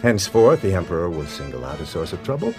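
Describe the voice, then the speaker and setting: Deep-voiced, pompous imperial nobleman. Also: big pitch swings, fast talker, mellow. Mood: grandiose. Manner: pompous, formal, theatrical delivery. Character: arrogant, ambitious imperial nobleman, scheming and imperious. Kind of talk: space-opera radio drama acting. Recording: music behind the voice.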